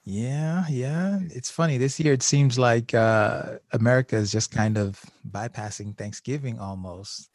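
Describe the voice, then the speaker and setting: Husky low-voiced guy hosting a podcast, chatty, acts out quotes, dead dry room. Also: a little slow, few pauses, dry recording. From Haiti. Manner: animated storyteller, laughing asides, acts out quotes. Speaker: podcast host, social worker. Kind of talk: casual podcast conversation.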